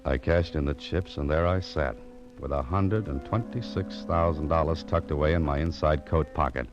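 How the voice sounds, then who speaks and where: Very deep-voiced hardboiled American detective. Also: male voice, moderate pace, loud music behind the voice. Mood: wry suspense. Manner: dry, deadpan, wisecracking. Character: world-weary hardboiled male private detective, wry and wary. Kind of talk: 1940s-50s radio noir narration and dialogue. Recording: muffled vintage radio recording.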